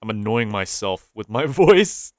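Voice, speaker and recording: A man's voice: fairly low pitch, very animated, unhurried, no room sound, clean studio sound. Chatty man with a stuffy, nasal voice. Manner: chatty, muses aloud, repeats himself. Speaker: gaming YouTuber. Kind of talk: casual gameplay commentary.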